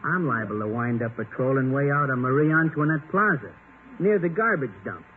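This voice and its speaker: A man's voice: medium pitch, expressive, fairly quick, close to an everyday voice. An actor playing a prickly, pedantic policeman in 1950s radio comedy, speaking formally and fussily, easily affronted. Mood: aggrieved. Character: prickly, pedantic policeman proud of his learning. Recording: tinny, noisy 1950 radio recording.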